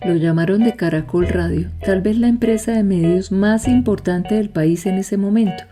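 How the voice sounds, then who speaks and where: A woman's voice: mid-range voice, moderate pace. A woman reads scripted documentary narration as a podcast host. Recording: soft guitar music behind.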